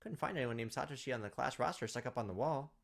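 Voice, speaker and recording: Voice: mid-range voice, fast talker, vocal fry, heavy background noise. A gaming video host reading visual novel text aloud, dropping in casual, playful asides to the characters. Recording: music behind the voice. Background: game music.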